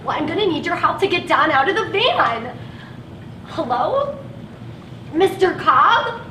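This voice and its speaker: A woman's voice: very high-pitched, big pitch swings, measured pace, bright, forceful tone, far from the mic, exaggerated voice. An actress playing an overwhelmed woman driving a van of patients in a stage play, pleading and stammering in a frazzled way. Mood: anxious, pleading. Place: theatre stage, picked up at a distance. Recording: roomy, noisy stage recording from afar.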